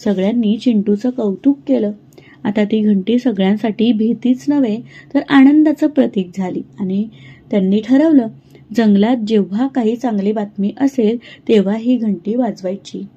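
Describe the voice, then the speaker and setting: A woman narrating a children's cartoon moral story in a slow, gentle, clear way. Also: on the high side, dull audio.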